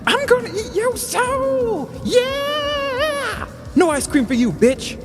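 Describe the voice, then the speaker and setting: A man's voice: very high-pitched, very animated, slow pace, loud music behind the voice, voice pushed up, full character voice. A man voicing a menacing metalhead ice cream man, screaming aggressive taunts in a comic story. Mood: gleeful fury. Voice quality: shrieking, screamed.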